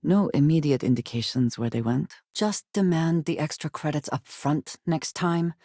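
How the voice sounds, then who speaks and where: An actress playing a guarded, earnest female companion in a space adventure video game, with formal, measured diction. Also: low-pitched, dark tone, no echo. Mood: reserved, serious. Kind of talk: scripted video game character dialogue. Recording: very dry, close studio recording.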